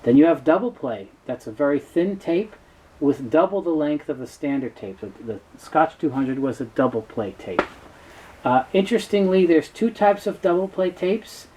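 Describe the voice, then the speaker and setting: A man in his fifties giving an unscripted explainer in a halting, matter-of-fact way. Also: a little high, lively intonation, a little mellow. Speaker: presenter, tape specialist. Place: cluttered indoor workroom with shelves. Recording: clear background noise, room ambience.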